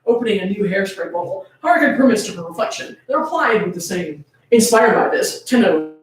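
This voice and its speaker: Animated woman reading aloud in an echoey room. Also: medium pitch, fairly quick. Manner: amused, often breaking into laughter while reading. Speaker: reader at a fan fiction reading. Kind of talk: dramatic comedic story reading. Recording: echoey room, distant microphone.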